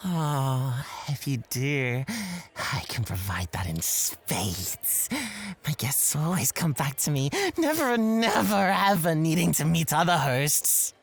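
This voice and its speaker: Man acting, sly manipulative male club host, ASMR roleplay, very animated intonation, flirtatious, teasing, drawn-out emphasis. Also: a little high, gravelly, full character voice. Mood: seductive, boastful.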